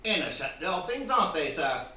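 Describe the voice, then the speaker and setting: An actor playing an eager, sardonic police sergeant in a stage radio drama, emphatic and excitable. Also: male voice, on the high side, fairly quick. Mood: eager, wry. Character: eager, cynical police sergeant, deferring to his inspector. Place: theatre stage, live performance. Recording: very echoey hall, distant mic, faint noise.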